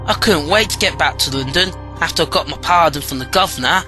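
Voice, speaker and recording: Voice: medium pitch, fast, close to an everyday voice. A young actor playing an anxious, naive teenage boy from colonial times in an audio drama. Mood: anxious, overwhelmed. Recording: clear music behind the voice.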